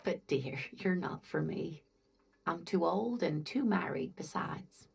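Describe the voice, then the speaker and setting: A woman voicing a kindly, scatterbrained older married woman in a video game, gentle and doting, with music behind her. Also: fairly low pitch, slow, gravelly, husky, exaggerated voice. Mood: playful, warm. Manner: gentle, doting, hesitant, calls listener dear. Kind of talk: video game dialogue acting.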